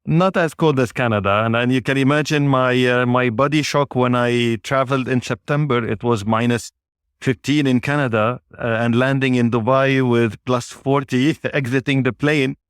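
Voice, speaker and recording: A man of Lebanese origin, a native Arabic speaker, answering interview questions in a measured way with frequent pauses. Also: medium pitch, steady tone, clear, resonant tone, no echo, studio quality. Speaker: guest, business executive.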